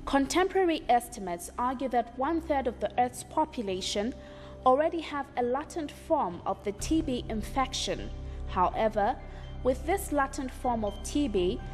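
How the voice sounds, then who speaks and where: A woman delivers formal, measured documentary narration in a somewhat high voice. There is a reverberant tail on her voice, and music plays clearly underneath. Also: animated. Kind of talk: scripted narration.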